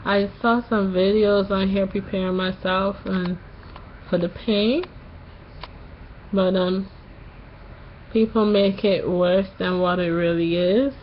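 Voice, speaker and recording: Young woman, post-surgery sore throat, slow halting delivery, slightly nasal, muffled recording. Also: mid-range voice, little pitch movement, a little hiss or hum. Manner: slow, halting, pauses often. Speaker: vlogger. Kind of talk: casual vlog to camera.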